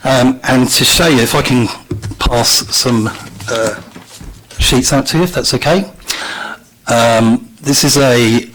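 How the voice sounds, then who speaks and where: A man with a croaky voice, a local business owner, politely making his case to a council meeting. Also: mid-range voice, slow pace, fairly bright tone, poor audio. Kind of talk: public comment.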